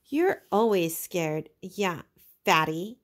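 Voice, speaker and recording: A woman voicing a scornful, bullying schoolboy in a storybook, with drawn-out taunts. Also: lowish voice, lively intonation. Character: scornful, bullying older schoolboy mocking the weaker one.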